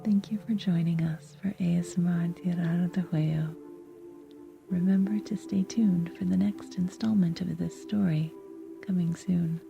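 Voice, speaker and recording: A woman's voice: on the low side, steady tone, measured pace, creaky. A woman whispering a scripted ASMR podcast outro as the announcer. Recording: muffled, with music behind. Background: theme music.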